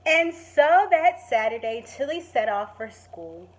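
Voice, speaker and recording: A woman teacher reading a children's story expressively, stretching words for emphasis and pausing to put questions to the listeners. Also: fairly high pitch, big pitch swings. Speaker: primary school teacher reading to pupils. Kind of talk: expressive children's story read-aloud with asides.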